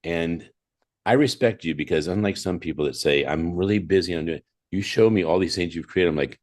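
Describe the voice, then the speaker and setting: Very deep-voiced male talk show host. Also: brisk. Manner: effusive, repeats phrases for emphasis. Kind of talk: conversational interview hosting.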